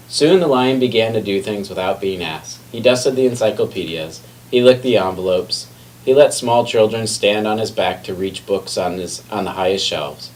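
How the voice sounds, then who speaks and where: A man reading a children's picture book aloud expressively, sometimes stumbling and correcting himself. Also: sits low. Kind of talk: children's read-aloud storytelling. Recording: distant mic with faint background noise.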